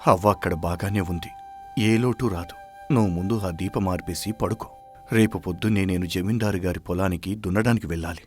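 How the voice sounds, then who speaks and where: A man's voice: sits low, a little fast, lightly acted. A man playing a sick, guilt-ridden village farmhand who hides his suffering in a dramatized moral story, anguished and heavy-hearted. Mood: curt, weary. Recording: music behind the voice.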